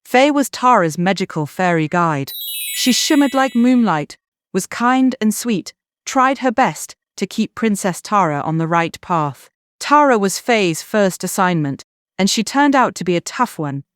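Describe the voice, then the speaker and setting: A woman narrating a children's bedtime story in an expressive, scripted way. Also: mid-range voice, unhurried, smooth, dry recording, clean studio sound.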